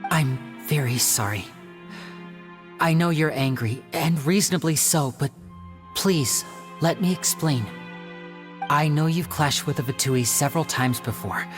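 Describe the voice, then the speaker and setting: Suave young man, a stage magician in a game: low, polite, earnest, music underneath. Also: a little slow, long pauses. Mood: apologetic. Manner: soft-spoken, polite, earnest. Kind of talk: video game voice acting.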